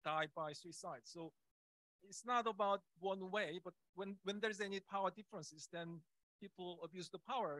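High-pitched man, halting and searching for words. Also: pushed and bright, dry recording, poor audio. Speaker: audience member asking a question. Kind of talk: unscripted question at a conference. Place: indoor conference room audience. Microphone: handheld microphone.

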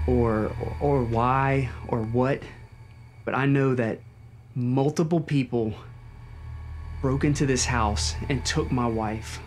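A man in his forties playing an earnest, halting husband of a kidnapped wife in a found-footage horror film. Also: medium pitch, darkish tone, close to an everyday voice. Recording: noisy audio with insect ambience.

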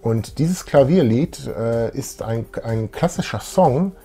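A man's voice: medium pitch, expressive, slow pace, warm tone, slightly rough, faint music behind. A man, chatting casually as co-host of a fan show. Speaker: YouTube co-host. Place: small room full of collectibles, indoors.

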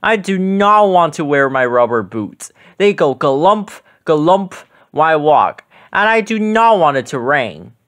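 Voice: mid-range voice, big pitch swings, measured pace, hardly any pauses, a bit bright. A storybook reader voicing a small young furry monster boy who frets and grumbles with plaintive, dramatic whining. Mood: worried.

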